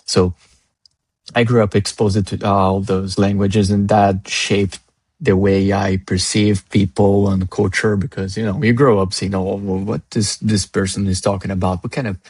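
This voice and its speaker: A man's voice: lowish voice, level tone, moderate pace, darkish tone, husky, no room sound. A man from northern Brazil with a Brazilian Portuguese accent, a native Portuguese speaker talking as an interview guest in a rambling way, with many restarts and fillers. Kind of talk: casual interview chat.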